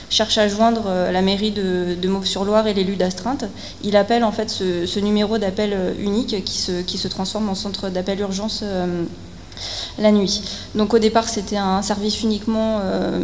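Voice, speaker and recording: A woman speaks at a technical conference in a reverberant hall with noticeable background noise. Her voice sits at a medium pitch. She explains things in a halting, semi-spontaneous way, often filling pauses and repeating words as she goes. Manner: hesitant, halting delivery with frequent fillers and repeated words. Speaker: researcher and consultant speaking at a conference.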